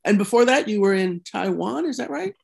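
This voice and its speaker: A man's voice: high voice, animated, brisk, creaky, husky. A man interviewing a guest, chatting easily and asking curious follow-up questions. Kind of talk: conversational interview.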